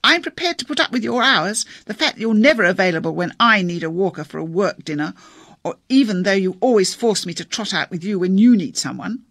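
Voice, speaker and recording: A woman's voice: medium pitch, lively intonation, brisk, a bit bright, no room sound, clean studio sound, pitched above the performer's own voice. A woman reader voicing an angry wife raging at her husband. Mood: furious. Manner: bitter, furious. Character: bitterly angry wife shouting from upstairs.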